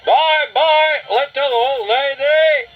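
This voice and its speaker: A man's voice: fairly high pitch, big pitch swings, unhurried, over music. A man voicing a cheerful, playful dragon in a children's story, exuberant, informal and cheeky. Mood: cheeky, friendly. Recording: dull lo-fi audio.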